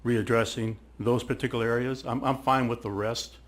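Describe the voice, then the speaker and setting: A man speaking as a council member at a public meeting, halting and repeating himself as he searches for words. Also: medium pitch, fairly even intonation. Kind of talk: deliberative public meeting remarks.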